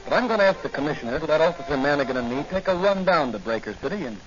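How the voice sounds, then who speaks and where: A man's voice: fairly high pitch, expressive, very fast, lightly acted. Guy as young rookie cop hero in an old radio show, straight and earnest, dull lo-fi audio. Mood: confident, easygoing. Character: earnest young rookie patrolman, secret crime-fighter hero. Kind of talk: 1940s radio drama acting. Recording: muffled old 1940 radio recording with music.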